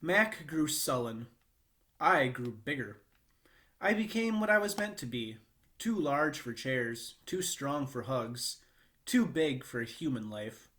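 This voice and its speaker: A man reading a children's book aloud in a measured, expressive storytelling manner with short pauses. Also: medium pitch. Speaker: read-aloud reader.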